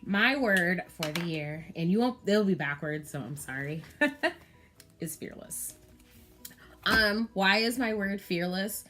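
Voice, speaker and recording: A woman's voice: medium pitch, animated, slow, a bit bright, faint music behind. A woman in her thirties chatting candidly to camera about planning, with frequent ums and you-knows. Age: 30s. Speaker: planner vlogger. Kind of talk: casual chat to camera.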